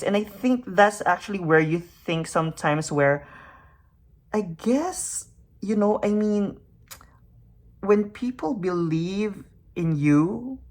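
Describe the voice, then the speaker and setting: Soft-spoken earnest woman, lower pitch. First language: Tagalog. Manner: soft, earnest and searching, with fillers and self-questions. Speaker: makeup YouTuber. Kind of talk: reflective personal vlog talk.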